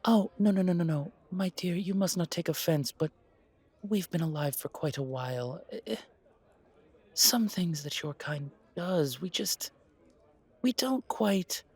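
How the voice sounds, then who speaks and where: A man's voice: high voice, expressive, a little slow, darkish tone, slightly rough, exaggerated voice. A man voicing a refined, haughty old vampire who speaks in a formal, courtly, theatrical way. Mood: haughty, incredulous.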